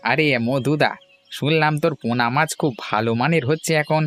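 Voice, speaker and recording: A man's voice: on the high side, brisk, a bit bright, faint music behind, natural voice. A man voicing a friendly cartoon villager, talking in a familiar, colloquial way. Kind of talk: cartoon dialogue.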